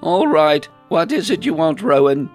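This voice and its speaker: A man's voice: high voice, sing-song, a little slow, vocal fry, faint music behind, voice pushed up, exaggerated voice. A man voicing a shrewd female hobbit weapons merchant, suspicious and no-nonsense, in a storybook reading.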